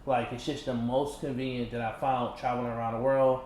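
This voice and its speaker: A man in his thirties from Michigan, chatting casually as an interview guest, animated, laughing often and filling with "you know". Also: medium pitch, brisk, a little hiss or hum. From Michigan, USA. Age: 30s. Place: indoors in a condo. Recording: echoey room with faint ambience.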